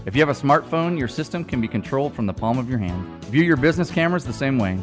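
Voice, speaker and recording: A man's voice: medium pitch, expressive, fast talker, soft background music. A man reading a scripted radio advertisement as a business spokesman.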